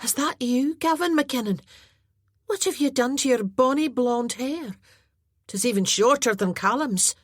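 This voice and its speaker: A woman voicing a fiery, proud young Highland woman with a sharp tongue, in an audiobook. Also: lively intonation, unhurried, no room sound, studio quality. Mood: surprised.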